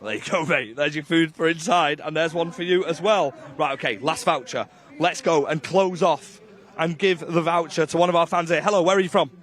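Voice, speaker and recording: A man's voice: fairly high pitch, brisk, a bit bright. A man working as a roving presenter, chatting directly and upbeat with fans in live outdoor interviews. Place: outdoors outside a stadium.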